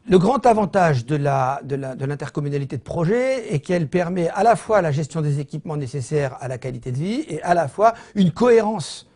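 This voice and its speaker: A man with a French accent from France giving an explanatory lecture as a professor, in a didactic, measured manner with frequent hesitations. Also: a little high, mellow. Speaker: business school professor giving an online lecture.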